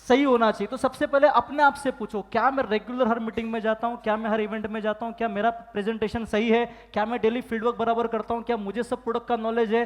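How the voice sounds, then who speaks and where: A man giving a motivational business training talk, firing questions at the audience and acting out phone calls and excuses. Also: very high voice. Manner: asks the crowd questions and demands loud answers. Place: large hall with live audience. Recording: long hall reverb.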